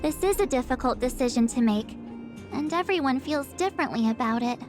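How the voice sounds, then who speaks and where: High-pitched, expressive young girl adventurer. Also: fairly quick. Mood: earnest. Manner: exclamatory and expressive. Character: bubbly, expressive teenage girl adventurer, loyal and curious. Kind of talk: video game voice acting. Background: game music.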